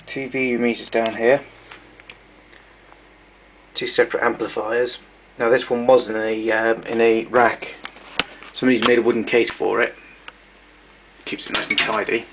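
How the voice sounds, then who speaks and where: A man narrating a casual show-and-tell of his tape recorders, explaining step by step with pauses and fillers. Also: mid-range voice, little pitch movement, fairly bright tone, a little hiss or hum, rough recording.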